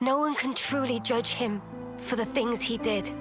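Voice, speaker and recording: Actress doing a high voiced young fighter girl, solemn n resolute, video game drama, music behind. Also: normal speed, lightly acted. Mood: solemn resolve.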